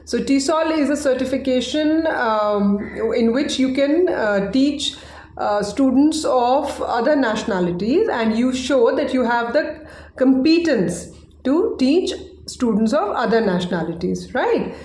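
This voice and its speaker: Casual, chatty woman English teacher, first language Hindi, fairly high voice, recorded in an echoey room. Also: expressive, a little slow, darkish tone. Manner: chatty, checks in with "right?". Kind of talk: casual online lesson.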